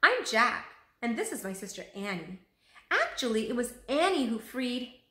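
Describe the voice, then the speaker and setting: A woman's voice: a little high, very animated, moderate pace, pushed and bright, voice pushed up. A woman voicing an earnest, cautious, polite young boy in a children's storybook. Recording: roomy echo.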